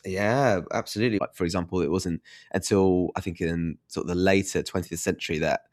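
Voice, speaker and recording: A man's voice: sits low, fairly even intonation, fairly quick, no room sound, clean studio sound. A young man, an author being interviewed, with a nasal, congested voice; thoughtful and self-deprecating, laughing often. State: congested from hay fever. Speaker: guest author. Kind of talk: casual interview chat.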